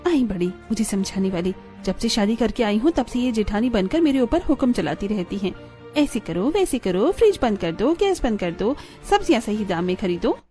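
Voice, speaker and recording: Woman doing a resentful lazy cartoon sister-in-law, sulky, high-ish voice, music behind. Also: lively intonation, normal speed, warm tone, natural voice. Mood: resentful grumbling. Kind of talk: cartoon family drama acting.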